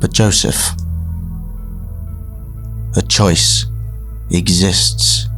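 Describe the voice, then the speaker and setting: Casting: actor as solemn visionary program leader, low and very measured, grandiose pauses, faint music beneath. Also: male voice, flat delivery, a bit bright, full character voice. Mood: intimate, grave. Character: solemn, persuasive male leader of a mysterious afterlife program. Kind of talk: audio drama speech.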